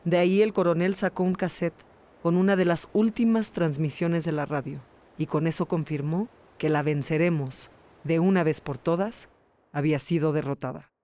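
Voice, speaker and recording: A woman's voice: deep voice, fairly even intonation, normal speed, dark tone. A woman hosting and narrating a documentary podcast in a scripted storytelling style. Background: music under the voice.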